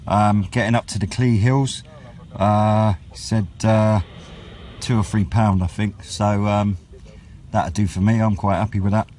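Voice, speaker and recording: British male hobby vlogger, lowish voice, unhurried and low-key, recorded outside over clear background noise and vehicles. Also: steady tone, a little mellow. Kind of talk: casual outdoor vlog chat. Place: outdoors on wooded high ground by a road. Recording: outdoor noise with passing vehicles.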